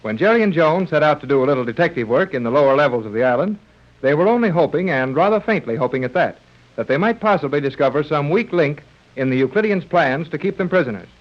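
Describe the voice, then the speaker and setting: A man's voice: a little high, animated, quick pace. A man narrating an adventure serial as a scripted 1930s radio announcer. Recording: dull vintage radio recording.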